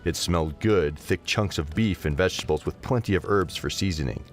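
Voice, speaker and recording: A man narrating a scripted fantasy story as an expressive audio drama narrator. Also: low voice, brisk, faint music behind.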